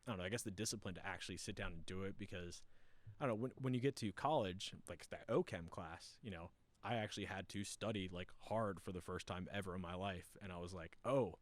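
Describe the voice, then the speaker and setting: A man's voice: low voice, quick pace. A man talking as a podcast guest in casual chat, rambling and reflective. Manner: rambling, reflective, many fillers.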